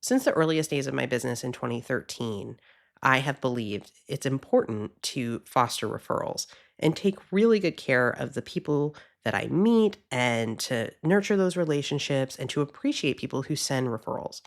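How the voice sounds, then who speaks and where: A woman's voice: very deep voice, expressive, normal speed, gravelly. A woman in her thirties hosting a podcast in a casual solo talk, upbeat and chatty, a little sniffly from a cold. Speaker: podcast host.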